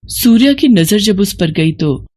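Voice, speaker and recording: A woman's voice: medium pitch, expressive, a little slow, a little mellow. A woman reading a scripted horror story as a dramatic narrator.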